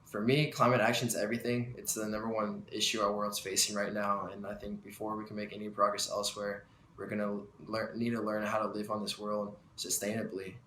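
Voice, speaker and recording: Young american college guy, forceful bright voice, low and flat, roomy sound with faint noise. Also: a little fast. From United States. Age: young adult. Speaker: student speaker. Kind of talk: short video statement.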